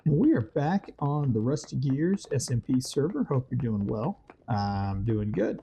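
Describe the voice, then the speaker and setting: A man hosting a Minecraft let's-play, slightly hoarse from a weather change, talking casually and rambling through his plans with lots of fillers. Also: medium pitch, sing-song, measured pace, dark tone, slight background noise. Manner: rambling, thinks aloud with many fillers. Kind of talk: casual gameplay commentary.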